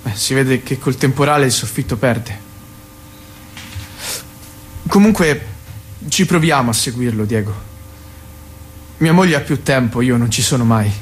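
A man's voice: medium pitch, measured pace, pauses often, a little hiss or hum, close to an everyday voice. An actor playing a pupil's father, insistent yet awkward, in naturalistic audio drama dialogue. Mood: awkward, conciliatory.

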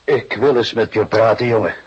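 A middle-aged police detective with a very husky, lowish voice. He is patient, wry and fatherly, musing and hesitating as he questions. It is a muffled radio drama recording. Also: fairly even intonation. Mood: patient, grave. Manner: deliberate, hesitant musing with repeated assents. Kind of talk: Dutch radio play acting.

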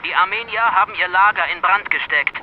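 An obedient military officer in a radio play, acted by a man. His voice is high, formal and clipped, with a dull lo-fi sound and faint noise behind. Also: brisk, lightly acted. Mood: dutiful, nervous. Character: obedient, deferential Turkish army officer reporting. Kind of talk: German radio drama acting.

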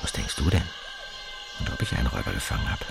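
An actor playing a hard-edged police detective in a radio play, talking in a dry, clipped way. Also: male voice, very deep voice, big pitch swings, fast talker, vocal fry, full character voice. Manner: dry, clipped, telling a violent story as a bedtime tale. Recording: heavy music under voice.

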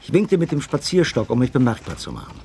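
A man in a radio play acting a detective's loyal gentleman companion, narrating and speaking in a composed storytelling manner. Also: mid-range voice, wildly swooping pitch, fast, heavy background noise. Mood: composed, suspenseful narration.

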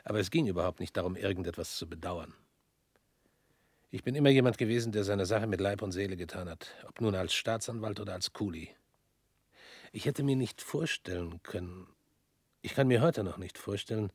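An actor playing a humbled former prosecutor in a radio play, with a husky voice and an earnest manner. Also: male voice, sits low, no room sound, studio quality, exaggerated voice.

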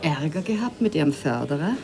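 An actress playing an edgy, sardonic conference guest with mocking wit in a radio drama. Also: female voice, deep voice, expressive, brisk, vocal fry, a crowd in the background. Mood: uneasy. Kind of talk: German radio drama acting.

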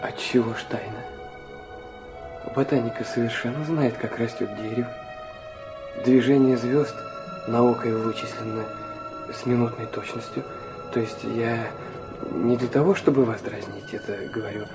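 An actor playing an intense, proud young man weakened by illness, narrating and speaking earnestly and haltingly in a literary audio drama. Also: medium pitch, wildly swooping pitch, music in the background. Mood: skeptical, earnest. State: weak from illness.

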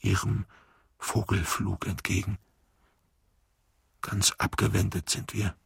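A man acting in an experimental radio play, reciting poetic fragments as a weary, hushed figure in a gravelly, husky, halting voice. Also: fairly low pitch, flat delivery, no room sound, clean studio sound, exaggerated voice. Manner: halting, sparse, word-by-word delivery. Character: weary, slow, hushed male voice echoing fragments of poetry.

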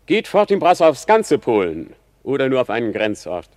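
An actor playing a bitter, mocking young prince who feigns madness in a theatrical German radio play of classical drama. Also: male voice, medium pitch, huge pitch swings, moderate pace, a little mellow. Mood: brooding, inquiring. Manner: theatrical, sardonic.

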